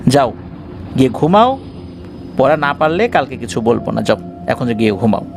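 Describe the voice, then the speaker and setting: A man's voice: medium pitch, sing-song, normal speed, vocal fry, background noise, pitched below the performer's own voice. A man voicing a stern but caring male religious teacher in a horror story, admonishing with concern. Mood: concerned scolding.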